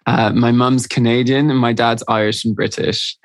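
A man's voice: medium pitch, little pitch movement, normal speed, no room sound. A man who grew up in Switzerland and France, a podcast guest telling his own story in a candid, chatty way with plenty of "um"s. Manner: candid, chatty, many fillers. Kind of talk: casual storytelling interview.

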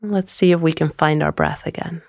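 A woman guiding a meditation in a soothing, gentle, unhurried way, often trailing into a breathy near-whisper. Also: deep voice, steady tone, dry recording, clean studio sound. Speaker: meditation guide. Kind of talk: guided meditation.

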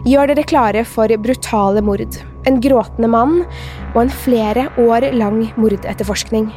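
A woman hosts a true crime podcast in a fairly high voice. She reads from a script as a narrator, with faint music behind her. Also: mellow.

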